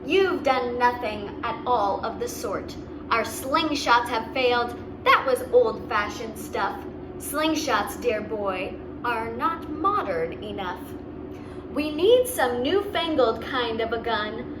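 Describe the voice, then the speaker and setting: A woman's voice: fairly high pitch, animated, slow. A woman voicing a confident, boastful old military chief in a children's storybook, grand and reassuring. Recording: roomy, distant mic, clear background noise.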